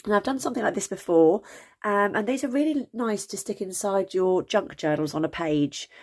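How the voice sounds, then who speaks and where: A woman with a slightly croaky voice, chatting casually through a craft tutorial with lots of ums and self-corrections. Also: mid-range voice, expressive, moderate pace, darkish tone. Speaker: crafting channel host.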